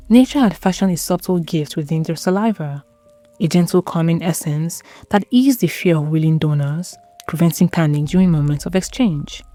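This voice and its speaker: A woman narrating a scripted dramatic story in a measured, solemn, literary manner. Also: low-pitched, dark tone.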